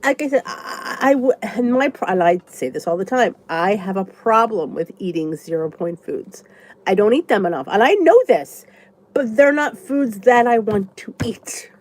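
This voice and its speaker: A woman's voice: medium pitch, animated, moderate pace, a little mellow, rough recording. A congested woman vlogger with a stuffy nose, rambling chattily as she answers viewer comments in a casual live chat. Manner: chatty and rambling, thinks aloud.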